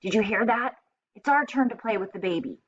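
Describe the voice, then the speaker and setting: Woman putting on high, eager, doting zoo animals. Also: lively intonation, brisk, lo-fi sound.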